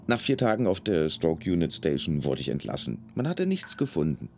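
A weary stroke patient in a radio play, telling his story with dry wit. His voice sits very low and has a gravelly creak, with faint music underneath. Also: huge pitch swings, normal speed, darkish tone, full character voice. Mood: wry. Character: male stroke patient recounting his hospital stay, weary, wry. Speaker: actor narrating in first person. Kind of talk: radio drama monologue.

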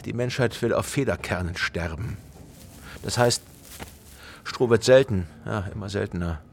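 An actor playing an eccentric, kindly goat herder who chuckles and drawls folksy philosophy in a German radio play. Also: male voice, on the low side, moderate pace, vocal fry, slight background noise. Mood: musing, amused. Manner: drawling, chuckling, ponderous pronouncements.

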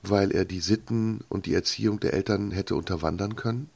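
Low husky guy doing an interview, slow thoughtful questions, bit of gravel. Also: a little mellow.

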